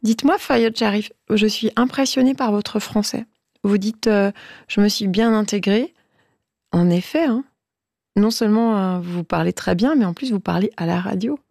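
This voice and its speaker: A woman hosting a radio interview, asking encouraging, gently probing questions. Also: mid-range voice, normal speed, creaky, dry recording, clean studio sound. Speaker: radio host.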